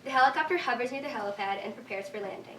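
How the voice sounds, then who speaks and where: A woman's voice: a little high, rapid-fire, pushed and bright. A woman reading stage directions as scripted narration at a live staged reading. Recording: echoey room, distant mic, faint noise.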